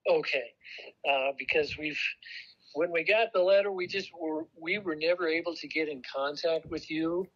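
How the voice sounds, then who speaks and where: Over a muffled phone recording, a middle-aged American man talks in a polite, casual way. He is hesitant and halting as he explains himself. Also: a little high, a bit bright. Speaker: congregation elder on a phone call.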